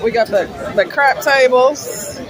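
A woman in her forties vlogging casually to her phone camera aboard a cruise ship, lively and chatty, calling her viewers "y'all.". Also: sits high, slow pace. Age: 40s. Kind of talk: casual vlog chat to camera. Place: cruise ship restaurant, club and casino, indoors. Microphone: phone camera held at arm's length. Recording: phone video with loud music and crowd. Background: loud music and crowd chatter.

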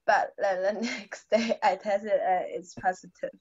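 Teen student, female; halting, simple phrasing, muffled laptop-mic sound. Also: medium pitch, fairly even intonation, darkish tone, breathy edge. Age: teens. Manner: halting, searching for words, simple grammar. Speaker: high school English student. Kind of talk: conversation practice in a lesson. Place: small indoor room at home. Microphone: laptop webcam on video call.

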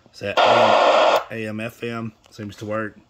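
A man's voice: lowish voice, completely flat delivery, a little slow. A man giving a casual, rambling, matter-of-fact product demonstration, recorded on a phone in a small room. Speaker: seller demonstrating used radio equipment. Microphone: phone held near the radio. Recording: clear background noise, handheld phone.

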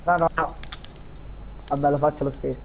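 A man's voice: on the high side, little pitch movement, moderate pace, muffled recording, slight background noise. A man chatting casually in online game roleplay over voice chat. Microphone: gaming voice chat.

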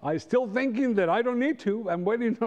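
A man's voice: very high voice, a little slow, a little mellow. A middle-aged man with a Costa Rican accent and Spanish as his first language, teaching a church class in a conversational way with rhetorical questions. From Costa Rica. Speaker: Sabbath school teacher. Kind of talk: church lesson to a congregation. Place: large church sanctuary, standing before pews.

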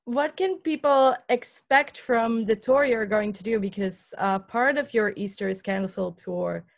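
A young woman from Belgium interviewing a musician over a video call, friendly and quick to laugh. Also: a little high, creaky. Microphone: laptop webcam on video call.

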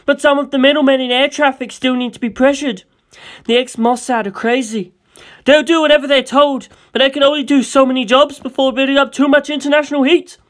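A jittery underworld arms supplier, as a man puts him on, rattling off his lines in a raised, excitable rush. The voice sits noticeably higher and louder than the reader's own. It is a wired comedy-sketch crook with no calm in him. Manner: rapid, raised, excitable delivery.

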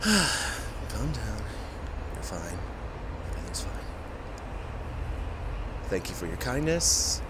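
A man playing an injured, famous musician who is guarded, halting and sarcastic, in an audio roleplay spoken to the listener. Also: lowish voice, sing-song, very noisy. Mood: defensive, flustered.

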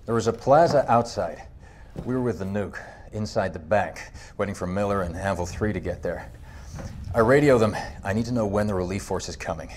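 Man acting embattled low-voiced army sergeant. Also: a little fast, darkish tone, a little hiss or hum, natural voice. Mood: grim, subdued. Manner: terse, insistent, bristling under questioning. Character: embattled, defiant American military sergeant under interrogation. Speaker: video game voice actor. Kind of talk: cinematic game drama acting.

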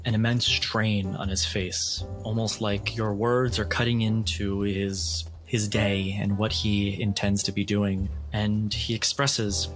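A man's voice: fairly low pitch, flat delivery, takes it slowly, few pauses, fairly bright tone. A man running a tabletop game as game master, narrating and improvising scenes for the players. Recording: music under the voice. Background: background music.

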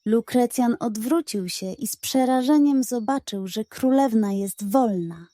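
A woman reading a scripted bedtime fairy tale as storyteller, in an expressive, measured way. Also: on the high side, no room sound.

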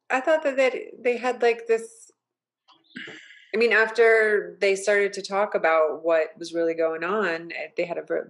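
A woman on a video call, sharing a gut reaction in a halting way. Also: lowish voice. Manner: halting, restarts often. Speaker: discussion participant. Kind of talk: casual discussion.

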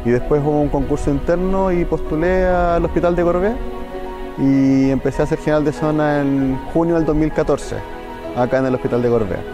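A man's voice: fairly high pitch, a little fast, highs cut off. A young man with a Chilean accent, a doctor giving an interview testimony. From Santiago, Chile. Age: young adult. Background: faint music.

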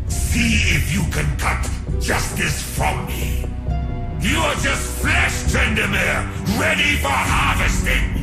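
A tormented demonic warrior from a video game, seething with contempt. The voice is high-set and swings widely in pitch, grandiose and menacing. Loud dramatic music surges behind it. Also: male voice, full character voice. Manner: grandiose, menacing, slow and declamatory. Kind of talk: theatrical video game villain monologue. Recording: game audio under loud music, echoing.

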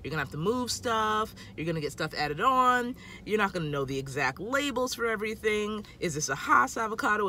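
A woman's voice: fairly low pitch, sharp tone, slight background noise. A woman in her thirties giving casual tips to camera from inside a parked car, emphatic and talking with her hands, filmed on a phone at arm's length. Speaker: food vlogger.

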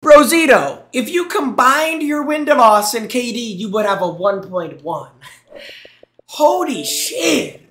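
A man's voice: extremely high pitch, lively intonation, slow, bright, forceful tone, reverberant. A young man streaming on a headset mic in a small room, reacting to gameplay clips with teasing jokes and thanking his chat. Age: young adult. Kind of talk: casual live-stream commentary.

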